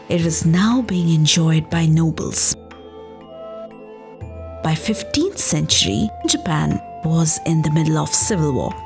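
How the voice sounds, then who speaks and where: A woman narrating a scripted story as a podcast host, in a measured, expressive explanatory way. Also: fairly low pitch. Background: background music.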